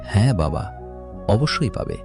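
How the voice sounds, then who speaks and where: Man acting a humble, low-voiced poor farmer. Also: big pitch swings, normal speed, vocal fry. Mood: hopeful, gentle. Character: poor, honest, hardworking male farmer, humble family man. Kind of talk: cartoon fairy tale acting. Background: music.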